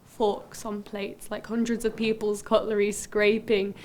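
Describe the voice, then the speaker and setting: A woman playing a haunted, introspective character who recounts a dream in deliberate, broken phrases, as a poetic dramatic monologue. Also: mid-range voice, flat delivery, a little mellow, a little hiss or hum, close to an everyday voice. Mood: uneasy, intense.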